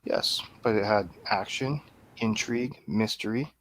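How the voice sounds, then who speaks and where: A man's voice: fairly low pitch, a little slow, a bit bright, rough recording. A man chatting casually as a guest reviewer on a movie radio show, with dry, joking remarks. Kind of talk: casual chat, movie banter. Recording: clear background noise, room ambience.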